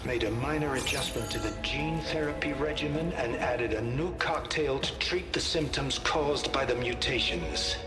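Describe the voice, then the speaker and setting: A man's voice: medium pitch, normal speed, few pauses, echoey room, music in the background, close to an everyday voice. A man playing a weary scientist father in a game, keeping a wry, anxious, emotional log. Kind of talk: recorded log monologue.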